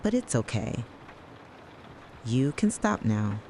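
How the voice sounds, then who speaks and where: A narrator delivering a scripted, dramatic late-night soliloquy in a deliberate, brooding way, speaking straight to the listener. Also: mid-range voice, big pitch swings, dark tone, a little hiss or hum. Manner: slow, deliberate, brooding second-person address.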